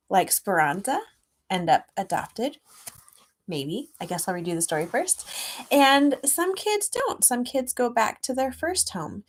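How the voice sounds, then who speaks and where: A middle-aged woman with a fairly high voice speaks to a young audience in the style of a casual read-aloud. She explains things gently, in simple words that children can follow. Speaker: children's author reading own book aloud.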